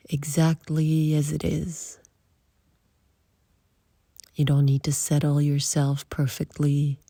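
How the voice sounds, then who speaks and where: A woman leading a guided meditation in soft, breathy, short phrases with long pauses, often trailing toward a whisper. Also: sits low, no echo, studio quality.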